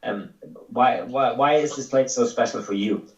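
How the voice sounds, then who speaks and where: A male host running a casual interview, friendly, laughing easily, and pausing to explain things for viewers. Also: mid-range voice, darkish tone, reverberant, far from the mic, muffled recording.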